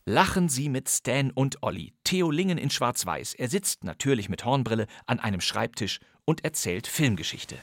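A man's voice: mid-range voice, very animated, normal speed, hardly any pauses, no room sound. A man hosting a radio podcast, reading a script with wry, ironic commentary in a studio. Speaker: podcast host.

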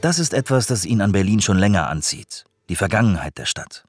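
A man's voice: on the low side, lively intonation, fairly quick, dry recording, clean studio sound, soft background music. A man reading scripted narration for a radio documentary.

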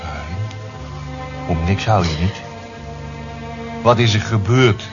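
Bluff working bloke in a radio play, really deep voice, muffled old recording, music behind. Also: male voice, animated, slow pace. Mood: concerned. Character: Bob Carter, plain gruff homely man at breakfast. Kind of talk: radio drama acting.

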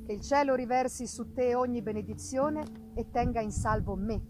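A woman's voice: fairly high pitch, moderate pace, lightly acted. A woman voicing an ardent male explorer writing to his sister, in an emphatic, declamatory dramatic reading. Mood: tender, solemn. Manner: declamatory, emphatic, with swelling and falling phrases. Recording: live reading over music. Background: live musical accompaniment.

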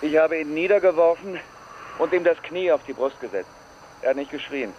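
An actor in a radio play voicing a haunted, avenging man who confesses gravely in short, measured sentences. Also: a little high, muffled recording, a little hiss or hum, natural voice.

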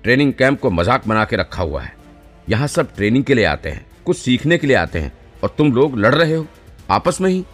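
A man's voice: medium pitch, big pitch swings, a little fast, pitched above the performer's own voice. A man voicing an angry, exasperated senior police training officer who scolds indignantly in an audio story, with music behind him. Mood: angry threatening. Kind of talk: dramatized audio story dialogue.

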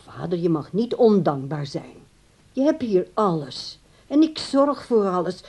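Grown daughter, reproachful, nagging, very lively intonation, soft dark tone, radio play. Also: female voice, fairly low pitch, a little slow, breathy edge, full character voice. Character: reproachful dutiful grown daughter of an old man.

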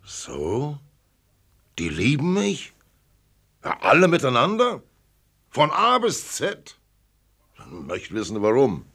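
A gruff, lonely magnate in a radio drama. His voice is gravelly and slow, with very wide swings in pitch, blunt and irascible, drawing out his words. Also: huge pitch swings, sharp tone, heavily acted. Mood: incredulous. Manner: blunt, incredulous, drawn-out words. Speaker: actor in a radio play. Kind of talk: German radio drama acting.

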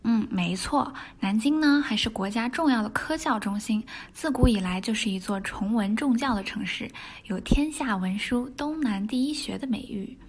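A woman hosting a children's broadcast, with bright, coaxing patter and teasing questions for the audience. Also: on the high side, normal speed, hardly any pauses, breathy edge. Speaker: program host.

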